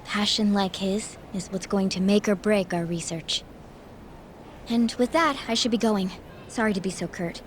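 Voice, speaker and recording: Actress as game scientist: mid register, polite, earnest, formal, slight background ambience. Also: female voice, mid-range voice, a little hiss or hum, lightly acted. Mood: earnest, admiring. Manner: measured, formal, courteous. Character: polite, earnest, reserved adult female researcher. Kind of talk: English video game dub acting.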